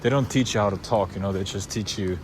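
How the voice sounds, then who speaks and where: Lowish Swiss man rambling in a car. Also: fast talker, noisy background. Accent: Swiss German. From Switzerland. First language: Swiss German. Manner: loose, unscripted, many fillers and restarts. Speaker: vlogger. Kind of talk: casual rambling monologue.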